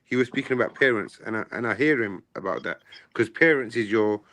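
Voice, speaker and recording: A man's voice: lowish voice, expressive, fairly quick, bright, forceful tone. A man, talking insistently on an online panel call and often apologising for cutting in. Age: 40s. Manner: insistent, apologetic, talks over others. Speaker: panel participant. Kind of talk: heated online panel chat. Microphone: home mic on a video call.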